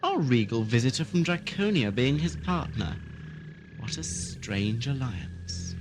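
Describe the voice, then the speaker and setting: A man's voice: mid-range voice, very animated, unhurried, heavy background noise. An actor playing a sinister, lordly asylum keeper and father in a science fiction audio drama, silky, mock-courteous and condescending. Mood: smooth, watchful.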